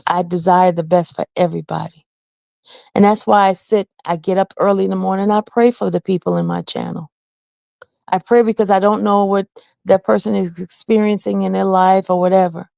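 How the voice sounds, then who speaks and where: A woman's voice: medium pitch, fairly even intonation, a little fast, creaky, warm tone, no room sound. A woman hosting her own livestream channel, talking earnestly and from the heart to her viewers, now and then answering the chat. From Haiti. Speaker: channel host. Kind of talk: casual livestream talk to viewers.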